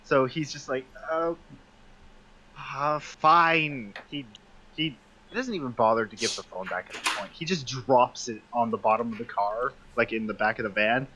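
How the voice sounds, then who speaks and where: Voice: mid-range voice, moderate pace, sharp tone, low-quality recording. A player in a tabletop game, casually improvising narration of what their character does. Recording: slight background noise.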